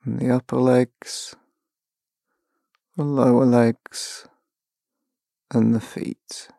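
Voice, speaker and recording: A man leading a guided mindfulness meditation, giving gentle, measured instructions with long pauses. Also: medium pitch, flat delivery, gravelly, dry recording, clean studio sound.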